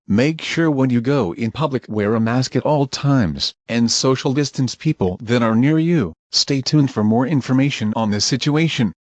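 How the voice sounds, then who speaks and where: A man's voice: medium pitch, no room sound. Man reading emergency alerts, very dry sound. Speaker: announcer of a fictional emergency alert. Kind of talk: scripted emergency broadcast announcement.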